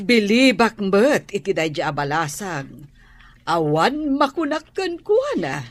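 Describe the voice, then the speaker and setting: A woman with an Ilocano accent playing a kindly, unhurried older aunt in a radio drama, approving and expressive. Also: mid-range voice.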